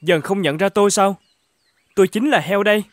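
An actor playing a good-hearted young man in an animated fairy tale, speaking earnestly and expressively over music. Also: sits high, fairly quick, natural voice. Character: good-hearted poor young man who becomes king. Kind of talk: cartoon fairy-tale voice acting.

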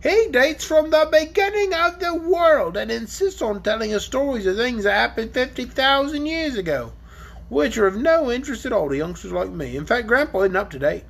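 A woman voicing a gruff, boastful young male dragon in a storybook reading. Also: a little high, expressive, normal speed, pitched above the performer's own voice. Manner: sneering, blunt. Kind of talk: audiobook character reading.

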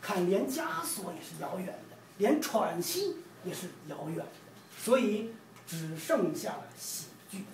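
A middle-aged man from China recites his poetry with measured, emphatic phrasing. He is recorded from a little distance in a very roomy space, with faint noise behind him. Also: high-pitched, expressive. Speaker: poet reading own work. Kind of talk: poetry reading. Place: room with large windows, indoors.

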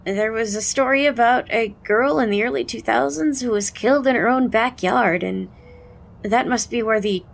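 A woman's voice: on the high side, moderate pace, creaky. A young woman playing a concerned, frank confidante in an audio drama, with a firm, reasoning delivery. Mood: serious. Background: music.

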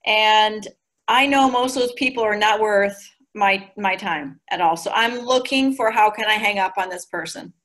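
A woman in her forties giving chatty, animated coaching advice over a video call from her living room. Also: mid-range voice, normal speed. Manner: chatty and animated, gestures a lot. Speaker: guest recruiter. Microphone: video call laptop mic.

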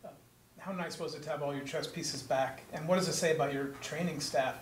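A man's voice: a little high, fairly even intonation, brisk. A man asking questions as a reporter at a press conference. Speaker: sports reporter. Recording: echoey, distant, faint room noise.

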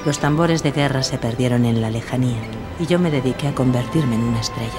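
An actress playing a self-assured, witty 1940s film star in a scripted audio drama, poised and seductive. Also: female voice, very deep voice, fast, over music. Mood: reminiscing, proud. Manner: poised, confident, seductive storytelling.

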